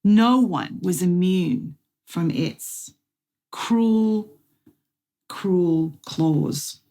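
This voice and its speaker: A middle-aged woman giving a testimony sermon as guest speaker, slow, deliberate and earnest, with dramatic pauses. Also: on the low side, mellow. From Australia.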